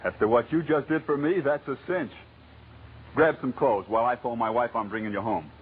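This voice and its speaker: A man's voice: medium pitch, a little fast, a little hiss or hum, natural voice. Guy doing a tough but kindly pal in an old radio thriller, firm slangy talk, tinny sound. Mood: protective, firm. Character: protective, plain-spoken male friend, tough but kindly. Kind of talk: old-time radio crime drama acting. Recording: muffled, tinny vintage radio recording.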